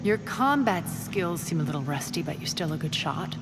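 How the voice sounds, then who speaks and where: A woman's voice: sits low, animated, moderate pace. An actress playing a ruthless female crime boss in a sci-fi game, with a cool, commanding, sardonic manner. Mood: brisk, controlled. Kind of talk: cinematic video game acting. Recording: long reverb, music behind.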